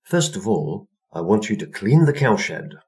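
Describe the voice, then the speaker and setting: A man voicing a kindly, gently bossy old woman in a storybook tale. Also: fairly low pitch, big pitch swings, unhurried, pitched above the performer's own voice. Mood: brisk, kindly. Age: older. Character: kindly old woman with a long pointy chin, mistress.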